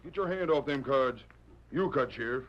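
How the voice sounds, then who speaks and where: A man's voice: mid-range voice, lively intonation, normal speed, lightly acted. A man playing a greedy, hot-tempered, suspicious banker in an old-time radio western, with a blustering, accusing delivery. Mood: angry, suspicious. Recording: muffled vintage radio recording.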